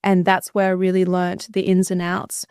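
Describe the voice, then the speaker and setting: A woman's voice: fairly low pitch, monotone, normal speed, a little mellow, clear, resonant tone, no room sound, studio quality. A woman, an articulate podcast guest telling a personal story conversationally and fluently. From Australia, born in Bulgaria.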